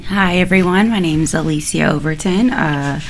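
A woman's voice: low voice, normal speed, background noise. A woman in her twenties from Oregon, a panel guest introducing herself in an easygoing way. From Portland, Oregon, USA. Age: 20s. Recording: distant mic with faint clicks.

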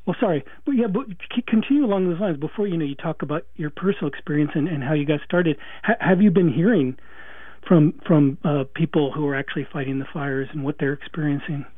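A man's voice: a little high, quick pace, dry recording, phone-quality sound. A male radio host interviewing a guest in a conversational way, with many restarts and fillers. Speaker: radio talk show host.

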